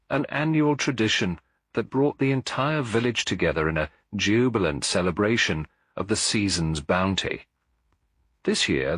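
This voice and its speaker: Very deep male storyteller. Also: fairly bright tone, breathy edge, no room sound. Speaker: audiobook narrator. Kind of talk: scripted mystery story narration.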